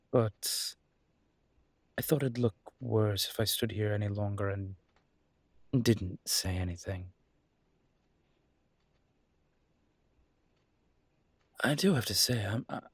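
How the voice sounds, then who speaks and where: A slow-talking, husky mafia boss acted out by a man in an intimate roleplay audio drama. He is charming and polite, teasing his listener with playful, flirty pauses. The sound is dry and close. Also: lowish voice, no room sound. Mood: apologetic, teasing.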